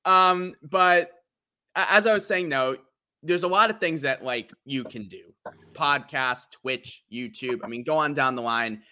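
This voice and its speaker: A man in his late twenties hosting a casual interview, chatty and quick to laugh, with stumbling restarts. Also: on the high side, sharp tone. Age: 20s. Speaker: podcast host.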